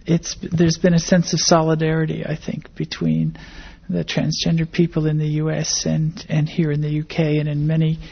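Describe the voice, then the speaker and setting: An older American woman with a husky, creaky voice gives conversational interview answers. The pitch moves little and the phrasing is thoughtful and hesitant. The recording is dull and lo-fi. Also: completely flat delivery, moderate pace, few pauses. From grew up in Indonesia and the Philippines, American-born. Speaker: interviewee, academic biologist.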